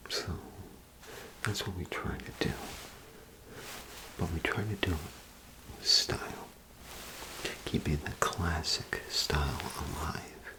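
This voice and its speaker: A man doing an ASMR role play as a clothing salesman, with gentle, hesitant, unhurried patter. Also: extremely low pitch, rough recording.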